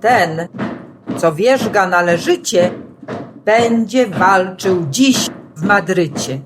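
A woman's voice: medium pitch, expressive, takes it slowly, background noise. A woman storyteller narrating a rhymed children's storybook.

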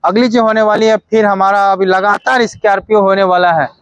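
High-voiced young man, vlog host. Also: steady tone, a little fast, clean tone, no room sound. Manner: short agreeing interjections. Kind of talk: interviewing a dealer. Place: outdoor used car lot.

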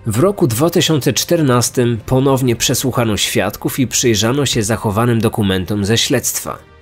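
Male podcast narrator, medium pitch, scripted documentary delivery, light music underneath. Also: moderate pace, breathy edge. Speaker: true crime podcast host.

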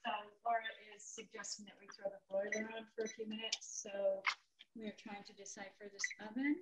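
A woman hosting a live online cooking class over a video call, chatty and playful with quick asides. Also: medium pitch, level tone, very noisy. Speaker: class host.

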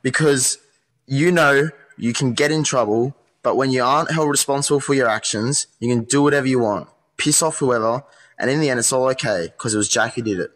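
A man's voice: mid-range voice, brisk, a bit bright, close to an everyday voice, rough recording. A man playing a hardened, skeptical police interrogator in a modern radio play, speaking bluntly and insistently. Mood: accusing.

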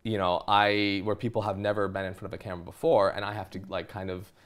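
A man's voice: fairly low pitch, level tone, quick pace, a bit bright. Casual, rambling man hosting. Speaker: podcast host, TV producer. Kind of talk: casual conversational interview. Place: small furnished indoor set with curtains.

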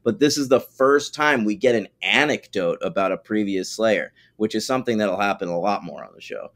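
A man's voice: fairly low pitch, brisk, a bit bright. A man co-hosting a podcast, chatting in a laid-back, profane way and sharing trivia about the show. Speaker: podcast co-host. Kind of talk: casual episode recap chat.

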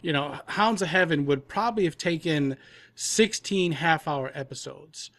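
A man's voice: on the high side, a little slow, a bit bright, no room sound. A man explaining his work as a guest in a casual interview, repeating words for emphasis. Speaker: audio drama producer, podcast guest.

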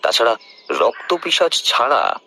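A man playing a seasoned tantric telling a horror tale in an audio drama, grave and knowing, slow and deliberate with dramatic pauses. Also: medium pitch, wildly swooping pitch, pushed and bright, heavily acted. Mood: earnest, resolute. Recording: clearly noisy background ambience.

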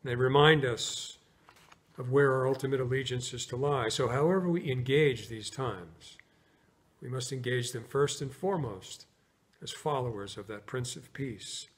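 An American man in his sixties, a pastor preaching and praying in a solemn, measured way with pauses between short phrases. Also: medium pitch. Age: 60s. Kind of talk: sermon, scripture reading and prayer. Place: inside a church, near the altar.

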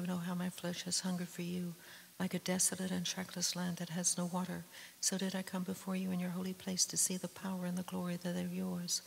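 A woman intoning psalms and prayers in a steady monotone, with soft whispery edges on her words. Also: fairly low pitch, gravelly. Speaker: liturgical reader. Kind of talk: solemn psalm reading. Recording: echoey church hall with hiss.

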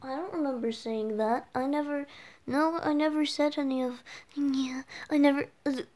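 A woman voicing a flustered, bashful boyish bard with cat ears in an ASMR roleplay, halting and stammering as the character talks to the listener. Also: high-pitched, steady tone, darkish tone, lightly acted. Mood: embarrassed, protesting. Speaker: audio roleplay performer.

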